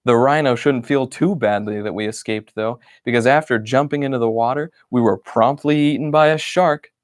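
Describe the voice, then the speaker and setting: A man's voice: medium pitch, normal speed, dry recording, clean studio sound, close to an everyday voice. A man voicing a grumpy, put-upon stuffed bunny who complains through his diary entries in an exasperated way. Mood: worried.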